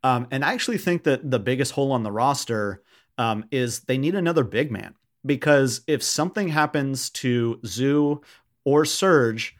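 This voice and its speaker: Male sports podcast host, mid-range voice, conversational, somewhat hesitant delivery. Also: mid-range voice. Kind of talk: casual sports podcast chat.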